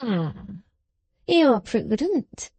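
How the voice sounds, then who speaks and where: Woman voicing a slow, gasping, spiteful old man. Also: on the high side, big pitch swings, dark tone, breathy edge, no echo, full character voice. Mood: wheedling, sly. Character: irritable, wheedling, breathless old man moneylender.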